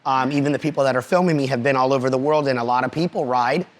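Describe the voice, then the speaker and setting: A man's voice: medium pitch, very fast. A man hosting a motorcycle talk show, asking enthusiastic questions and often repeating himself as he goes. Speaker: podcast host. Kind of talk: casual interview hosting. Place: cigar club lounge.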